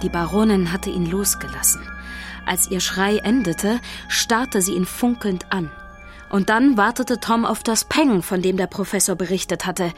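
Woman narrating a kids story, slow n measured, music behind her. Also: mid-range voice. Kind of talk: scripted children's story narration.

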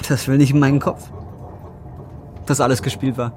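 A man's voice: mid-range voice, little pitch movement, normal speed, a little mellow, natural voice. An actor in his twenties playing a desperate young man burdened by guilt, blunt and brooding, in a naturalistic radio drama. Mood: anguished, confessing. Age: 20s. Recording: clearly noisy ambience with vehicle sounds.

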